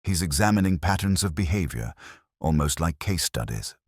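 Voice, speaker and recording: A man's voice: deep voice, animated, normal speed, slightly rough, no room sound, clean studio sound. A man voicing an earnest, analytical Victorian doctor who explains things studiously in an audiobook story. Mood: studious, concerned. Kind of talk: audiobook character dialogue.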